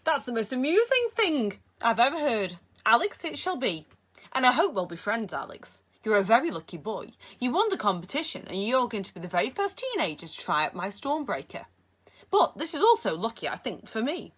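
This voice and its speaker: A woman's voice: fairly high pitch, big pitch swings, brisk, a bit bright, slightly rough, full character voice. A woman voicing a short, cold, menacing male tycoon with an Egyptian accent, speaking in a silky, self-satisfied way. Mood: smooth menace.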